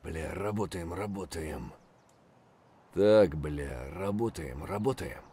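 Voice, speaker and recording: Game soldier, male, gruff, terse, wary, low-pitched, raspy. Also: animated, slow, dark tone, exaggerated voice. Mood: wary, weary. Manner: gruff, terse, foul-mouthed. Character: gruff, foul-mouthed, wary Russian mercenary soldier. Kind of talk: video game character voice lines.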